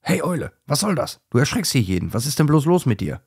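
A man voicing a friendly, calm male Siberian hedgehog with a Russian accent in a children's story. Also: fairly high pitch, quick pace, slightly rough, voice pushed up, exaggerated voice. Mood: firm, soothing.

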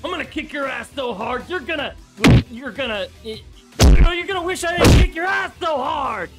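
Man playing a high-voiced hotheaded young yakuza brawler. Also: expressive, moderate pace, loud music behind the voice. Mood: fired up. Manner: brash, bumbling boasts. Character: hot-headed young male yakuza brawler, cocky and goofy. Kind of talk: anime-style audio drama acting.

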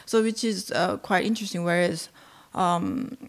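A woman's voice: on the low side, normal speed. A young woman with a Korean accent, a native Korean speaker, chatting hesitantly with many fillers as podcast co-host at a desk mic. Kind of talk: casual podcast chat. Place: small studio with painted backdrop. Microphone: desk mic on stand.

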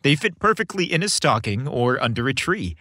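A man's voice: medium pitch, big pitch swings, brisk, sharp tone, no echo. A man hosting a story podcast, reading scripted narration with a playful, theatrical delivery, close on the microphone.

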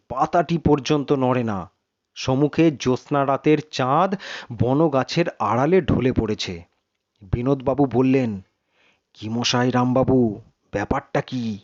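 A man reading a suspense story in a measured, literary, dramatic narration. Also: mid-range voice, a little mellow, no room sound, highs cut off.

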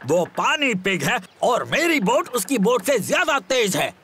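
Cheeky old grandpa dog. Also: male voice, very high voice, very animated, unhurried, pushed and bright, exaggerated voice. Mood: teasing. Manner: teasing, competitive. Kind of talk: Hindi cartoon dub. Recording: noisy outdoor ambience.

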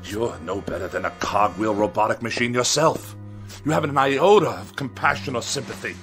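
An actor playing a haughty, paranoid middle-aged writer in a radio drama, with florid, sarcastic speech. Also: male voice, medium pitch, brisk, fairly bright tone, natural voice. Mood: indignant. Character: pompous, paranoid, irascible middle-aged male writer who hates machines. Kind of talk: theatrical radio drama acting. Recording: studio radio drama with music behind.

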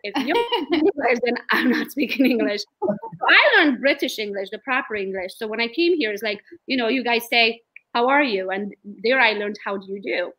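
A Romanian woman in her late thirties tells her story in a lively, chatty way on a video call. Her voice sits a bit high and sounds bright and sharp. It comes through a laptop webcam in a room at home. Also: a little fast, poor audio. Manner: lively storyteller, laughs often. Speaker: guest realtor. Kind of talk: casual video-call interview.

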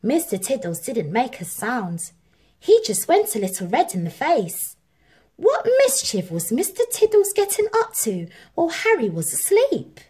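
A woman reading a children's story aloud as an expressive, measured narrator. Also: fairly high pitch, slightly rough. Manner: animated, measured. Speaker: storybook reader.

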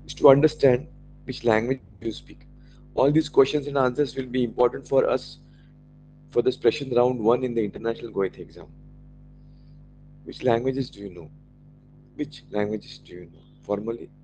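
A man with an Indian accent, whose first language is Marathi, teaching an online German class, slowly repeating each German phrase for his learners. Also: medium pitch, a little mellow, lo-fi sound, a little hiss or hum.

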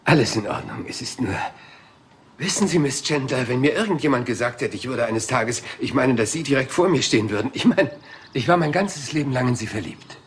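An actor playing a rumpled, humble police inspector in a radio play, talking in a hesitant, rambling and overly polite way. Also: male voice, medium pitch, lively intonation, a little fast, a little hiss or hum, close to an everyday voice. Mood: starstruck. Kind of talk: German radio play acting.

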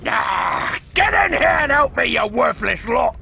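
An actor voicing a menacing, bullying pirate villain with a gravelly voice in a video game. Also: male voice, very high-pitched, lively intonation, measured pace, bright, forceful tone, soft background music, full character voice. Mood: furious.

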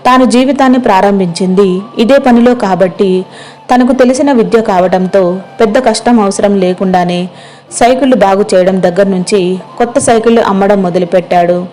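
A woman's voice: mid-range voice, brisk, dark tone. Woman narrating a moral story.

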